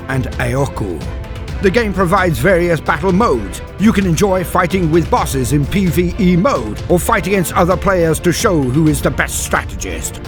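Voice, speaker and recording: A man narrating as a video host from a script, with formal, theatrical phrasing. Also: fairly high pitch.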